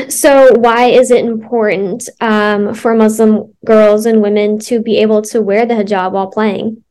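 A woman reporter asking interview questions in a conversational, slightly tentative and halting way. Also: on the high side, fairly even intonation, mellow.

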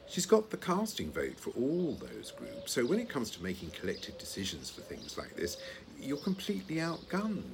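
A man acting in an audio play as a long-suffering, placid retired British husband, older, with a dry, reasoning, gently needling manner. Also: medium pitch, wildly swooping pitch, moderate pace, background noise. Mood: wry.

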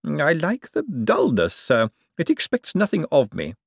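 Servile, humorless male bank clerk for an audiobook, done by a male reader: formal, guarded, hesitant, in a raised pitch. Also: huge pitch swings, measured pace, creaky, no room sound, studio quality, heavily acted. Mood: subdued, wary. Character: stiff, deferential, joyless male senior bank clerk. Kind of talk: audiobook character dialogue.

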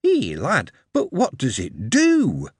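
A man's voice: medium pitch, wildly swooping pitch, slow, breathy edge, no echo, clean studio sound, pitched above the performer's own voice, heavily acted. A man voicing a doting, plain-spoken Yorkshire mother who is bewildered by her son and turns stern. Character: anxious, doting Northern mother, middle-aged.

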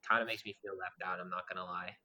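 Loud, talkative young man, deep and bright. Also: fairly even intonation, fast talker. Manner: loud, talkative and swears freely. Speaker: podcast co-host. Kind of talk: casual chat.

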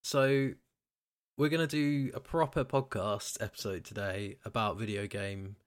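A man's voice: on the low side, steady tone, a little slow. A British man hosting a podcast in casual chat about games and films. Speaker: podcast host.

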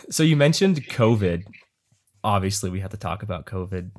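A man's voice: low-pitched, moderate pace. Laid-back joking male interviewer. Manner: relaxed, joking. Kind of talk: casual interview chat.

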